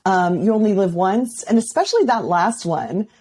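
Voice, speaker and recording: A woman's voice: medium pitch, animated, moderate pace, darkish tone, slightly rough. A woman hosting a podcast, asking questions and affirming her guest in casual conversation. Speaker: podcast host. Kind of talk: casual interview chat.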